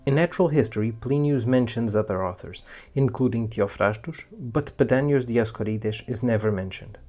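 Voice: mid-range voice, moderate pace, a little mellow. A presenter delivers scripted educational narration in a middle-range voice. Music plays behind the words.

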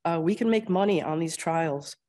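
A woman with an Upper Midwest American accent, getting over a cold and losing her voice, giving a conversational grand rounds lecture and often clearing her throat. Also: on the low side, moderate pace, dark tone. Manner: explanatory, conversational, frequent ums and throat clearing. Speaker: clinical research administrator presenting at grand rounds. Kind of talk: conference lecture with slides.

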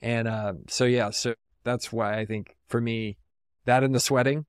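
A man's voice: mid-range voice, steady tone, unhurried, smooth, no echo, clean studio sound. A man from San Antonio, a podcast guest talking reflectively in a casual, rambling way with lots of "like.". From San Antonio, Texas.

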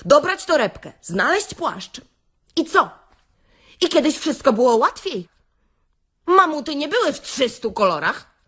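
A woman playing a chatty, wry workshop speaker in a comic audio-play monologue, theatrical and confiding, with hesitant pauses and rhetorical questions. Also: sits high, fairly bright tone.

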